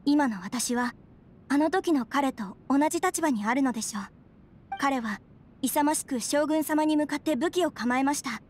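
A woman's voice: high voice, normal speed, slight background noise, lightly acted. An actress playing an earnest, dignified young noblewoman in an anime game, speaking politely and formally. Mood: resolute. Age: young adult. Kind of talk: Japanese anime game voice acting.

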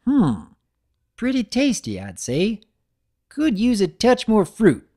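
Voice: medium pitch, wildly swooping pitch, slow, mellow, pitched above the performer's own voice, exaggerated voice. A narrator voicing a blunt, impatient young country mare from a cartoon. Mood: considering.

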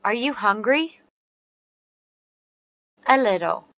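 Female, slow deliberate delivery, clear diction, dry studio sound. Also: on the high side, expressive, fairly bright tone, dry recording. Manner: slow and carefully enunciated. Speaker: English teacher reading dialogue. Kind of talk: slow read-aloud English practice phrases.

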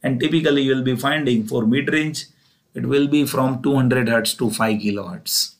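Male lecturer, Indian accent, mid-pitched voice, methodical and didactic explainer delivery. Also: normal speed. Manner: didactic, repetitive step-by-step phrasing, often opening with "so". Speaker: engineering lecturer. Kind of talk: explanatory narrated lecture over slides.

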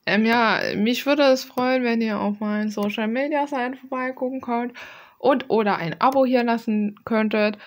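A young adult woman chatting casually in a rambling, slangy, self-deprecating way with mild swearing, like an artist presenting her own work in a vlog. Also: medium pitch. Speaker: illustrator presenting own artwork. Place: small room at home, desk.

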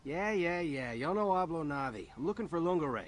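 A man's voice: fairly high pitch, lively intonation, normal speed, noisy background, close to an everyday voice. A man playing a wry, wisecracking soldier. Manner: casual, wisecracking. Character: human soldier in alien body, wry.

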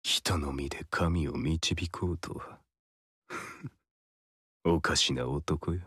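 A deep, haughty power-hungry god. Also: male voice, extremely slow, fairly bright tone, husky, no room sound, clean studio sound, full character voice. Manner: haughty, smug, drily amused. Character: arrogant god, cool and condescending, hungry for power. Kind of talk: video game cutscene dialogue.

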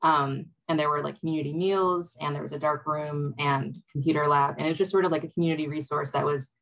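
A young woman from Wisconsin speaking on a video call panel, reflective and hesitant with many pauses. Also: deep voice, steady tone, gravelly, fairly bright tone, rough recording. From Wisconsin, USA. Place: home room.

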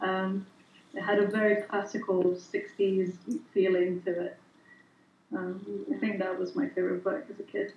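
Italian-native lady on a video call panel, pretty monotone, roomy muffled sound. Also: mid-range voice, moderate pace, slight background noise. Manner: reflective, many fillers and restarts. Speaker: illustrator panelist. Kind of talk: casual panel chat. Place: home studio room. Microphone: headset. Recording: echoey room, dull video call audio.

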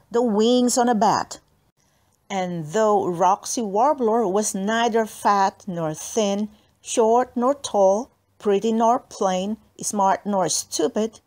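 A woman reading a children's book aloud as an expressive storyteller. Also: a little high. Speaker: audiobook reader.

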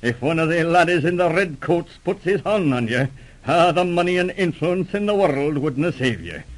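An actor with a Scottish accent playing a cautious, genial trading-post factor in a 1930s radio drama, folksy and measured. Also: male voice, on the high side, animated, close to an everyday voice, poor audio. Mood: cautioning.

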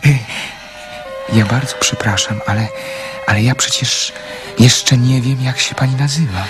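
Actor as slow-spoken, lonely romantic dreamer. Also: male voice, mid-range voice, over music, natural voice. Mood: shy, hesitant. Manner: hesitant, halting, poetic. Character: lonely, romantic male dreamer, shy and wistful. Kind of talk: radio drama acting.

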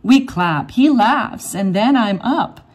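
Slow-paced woman reading a children's storybook. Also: on the high side, expressive, a little mellow. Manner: measured, rhyming storytelling delivery. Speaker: read-aloud storybook reader. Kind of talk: expressive children's picture book read-aloud.

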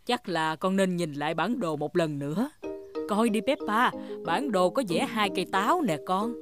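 A woman's voice: medium pitch, expressive, moderate pace, music in the background, close to an everyday voice. A woman voicing a kind, encouraging mother pig in a children's cartoon dub.

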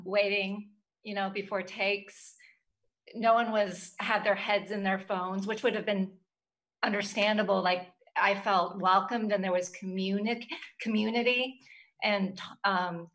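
Woman, middle-aged, crisp bright tone, sincere and searching delivery, casual cast panel on video. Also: mid-range voice. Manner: earnest, halting. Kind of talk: casual group video chat. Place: small room, indoors. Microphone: computer mic on video call.

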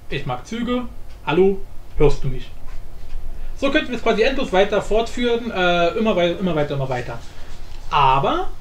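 A man hosting a casual, unscripted software tutorial, thinking aloud informally and repeating himself. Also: high-pitched. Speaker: tutorial host. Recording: roomy echo with faint background noise.

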